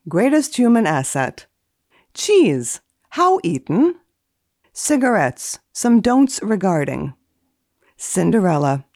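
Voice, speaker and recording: Deadpan, deliberate woman with swooping intonation. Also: lowish voice, no echo, clean studio sound. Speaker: guest etiquette columnist. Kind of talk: dramatic reading of a book index and deadpan commentary.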